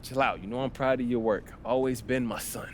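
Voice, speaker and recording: Mid-pitched man as young basketball star. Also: fairly even intonation, a little fast, heavy background noise, close to an everyday voice. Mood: relaxed. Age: young adult. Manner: slangy, casual. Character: confident, laid-back young male college basketball star. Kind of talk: casual game cutscene dialogue.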